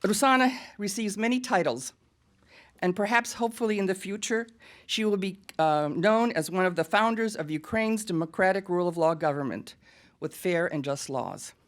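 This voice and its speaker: A woman's voice: mid-range voice, normal speed. An American woman giving an emphatic, earnest prepared speech at a press club podium. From United States. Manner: earnest and emphatic, with pointed asides. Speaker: guest speaker at a press event.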